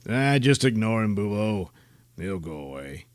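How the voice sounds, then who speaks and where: A man's voice: fairly low pitch, sing-song, a little slow, clean tone, no echo, voice pushed down. A man playing a pompous, petty superhero detective who grumbles and shifts blame in a comic audio drama. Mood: grumpy, haughty.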